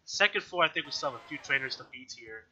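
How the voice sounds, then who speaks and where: A man's voice: medium pitch, animated, quick pace, pushed and bright. A man with an allergy-stuffed, slightly nasal voice, casually chatting through a game he is playing as host of a let's-play video. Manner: chatty, frequent self-corrections and throat clearing. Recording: dull lo-fi audio with faint background music.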